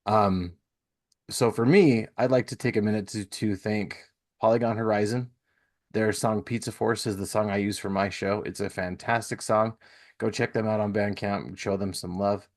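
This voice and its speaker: Man, lower-pitched voice, podcast host, informal, enthusiastic, casual chat. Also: fairly even intonation, normal speed.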